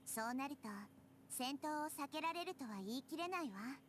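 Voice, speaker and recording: Nahida, a calm young girl goddess. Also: high voice, fast talker, a little hiss or hum. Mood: composed. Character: gentle youthful girl deity, calm and composed. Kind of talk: Japanese game dub.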